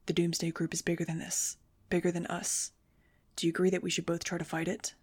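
A restrained teenage boy, read by a woman for a fan audiobook. He speaks quietly and a little lower, in clipped lines, keeping his temper in check. The voice stays close to the reader's own. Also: little pitch movement, moderate pace, vocal fry, breathy edge. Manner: low, clipped, holding back anger. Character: guarded teenage winged boy, tense and restrained.

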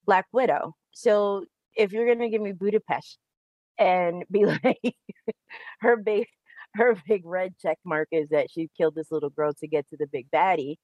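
Podcast co-host, woman, low-ish voice, animated, casual and profane. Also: normal speed, no room sound, muffled recording. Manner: animated, profane, laughs often. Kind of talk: casual movie chat.

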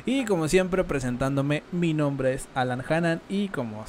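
A young man with a Mexican accent, co-hosting a casual podcast, relaxed and slangy with lots of filler words. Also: a little high, measured pace, a little hiss or hum. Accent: Mexican Spanish. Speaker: podcast co-host.

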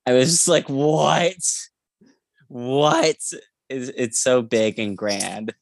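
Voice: medium pitch, slow pace, vocal fry, dry recording. A podcast co-host casually discussing books in an emphatic way, often repeating phrases.

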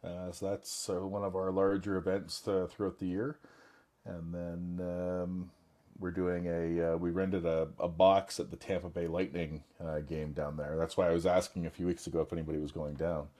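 Brief: man with a very low voice, raspy and hoarse from a recent bug, casual business catch-up, halting delivery. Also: level tone, mellow. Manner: halting, many fillers and restarts. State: hoarse, recovering from illness. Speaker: business owner on a group call. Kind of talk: casual group video call.

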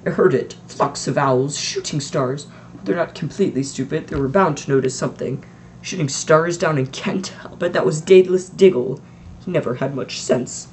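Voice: medium pitch, normal speed, darkish tone, slight background noise, lightly acted, rough recording. A reader voicing a strict older woman professor who speaks in a clipped, impatient way. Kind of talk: audiobook reading.

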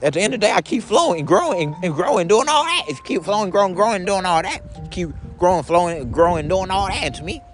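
A man's voice: high voice, animated, fast talker, hardly any pauses, soft background music. A young man talking casually to his viewers in a rambling vlog monologue, repeating each phrase back to himself in the second person. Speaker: vlogger.

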